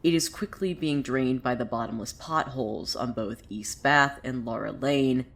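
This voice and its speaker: A woman's voice: extremely low pitch, moderate pace. A woman playing an unhinged, bitter cult preacher with visions in a fiction podcast, theatrical and swinging between brooding and mania. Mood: wry, preachy. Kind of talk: dramatic monologue in an audio fiction podcast.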